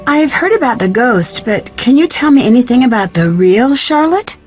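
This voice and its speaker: A woman playing an inquisitive, earnest young detective in a video game, speaking politely and clearly. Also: fairly high pitch, sing-song, normal speed, soft background music. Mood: curious.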